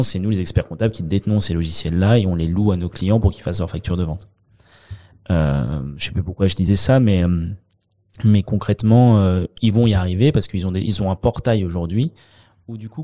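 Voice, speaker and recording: French male accountant guest, deep mellow voice, halting delivery full of fillers. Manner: hesitant, many fillers and restarts. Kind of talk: conversational interview.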